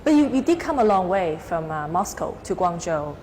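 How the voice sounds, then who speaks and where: A woman's voice: lowish voice, sing-song, moderate pace, a little mellow, slight background noise. A woman hosting a talk show, asking casual interview questions in a hesitant, pieced-together way.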